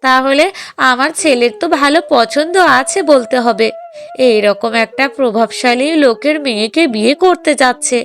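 A woman's voice: high voice, normal speed. A woman playing a middle-aged village mother in a cartoon drama, coaxing in a fretful, affectionate way. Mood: agreeable, inquisitive.

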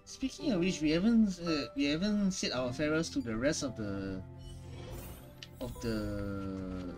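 A woman giving casual, halting reactions in as she plays through a video game. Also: very deep voice, expressive, a little mellow, faint music behind, poor audio. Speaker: gaming video creator. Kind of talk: casual game playthrough commentary, reading and reacting.

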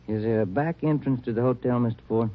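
An actor playing a wry, plain-spoken police detective sidekick in old radio drama style, on a vintage radio recording. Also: male voice, mid-range voice, quick pace, close to an everyday voice. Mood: dutiful. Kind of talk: 1940s-50s radio acting. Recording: dull, noisy old-time radio recording.

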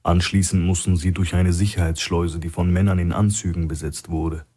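A man with a husky voice reading scripted thriller narration as an audiobook narrator. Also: deep voice, little pitch movement, moderate pace, dark tone.

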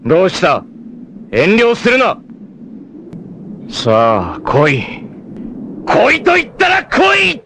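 A man voicing a proud, fierce young male martial artist in a 1980s anime, who moves from measured calm to raging shouts. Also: high voice, background noise, exaggerated voice. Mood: enraged shouting. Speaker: anime voice actor. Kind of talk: 1980s anime dub acting.

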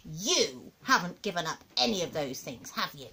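A woman's voice: on the low side, sing-song, moderate pace, pushed and bright, husky, voice pushed down, exaggerated voice. A woman voicing a nasty, bossy, tiny old grandmother in a storybook, snappish and scornful, with emphatic delivery. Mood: haughty, scolding.

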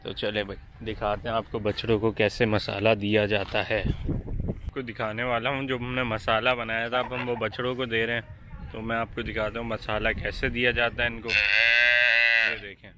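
A young man talks viewers through his cattle farm in a low, flat, matter-of-fact voice. He is recorded outdoors on a camera mic. Farm noise sits clearly behind him. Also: moderate pace. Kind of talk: casual vlog narration. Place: outdoor cattle farm under shade sheds.

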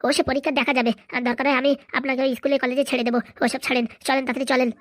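A woman's voice: high voice, little pitch movement, no echo, studio quality, natural voice. A woman voicing an urgent, protective young man in a cartoon. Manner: urgent, hurried. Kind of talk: cartoon voice acting.

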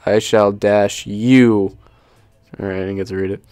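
Young man, lowish pitch, dry close sound, casual reaction commentary, jokey, sweary, unscripted. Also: steady tone, measured pace, a little mellow, clear, resonant tone, no echo. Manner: joking, sweary, easily amused. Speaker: unboxing video commentator.

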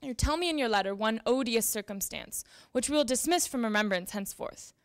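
A young woman reads old letters aloud into a handheld mic in an event room. Her voice sits fairly high with a marked creak, and her phrasing is formal and deliberate. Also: expressive. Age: young adult. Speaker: reader at a library event. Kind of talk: dramatic reading of historical letters. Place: indoor event room with small audience. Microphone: handheld microphone.

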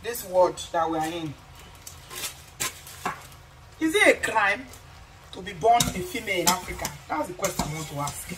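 A woman's voice: lowish voice, lively intonation, moderate pace, pauses often. A middle-aged woman from Nigeria with a Nigerian accent, cooking in her home kitchen while talking passionately to the camera, asking rhetorical questions and repeating herself for emphasis. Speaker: vlogger. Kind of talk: cooking vlog with social commentary. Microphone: camera on the counter. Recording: frying noise behind voice.

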